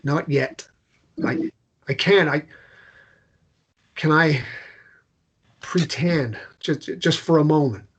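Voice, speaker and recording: A man performs a warm-hearted man in a gentle romance drama. His voice sits high for a man, and he speaks with open, slightly halting sincerity. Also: slightly rough, exaggerated voice. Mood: halting, vulnerable. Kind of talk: table read of a romance drama.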